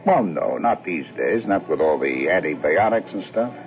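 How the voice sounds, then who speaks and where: A man playing an agreeable, plain-spoken family man in a radio drama, unhurried and folksy. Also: low voice, very animated. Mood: matter-of-fact. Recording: tinny, hissy old radio recording.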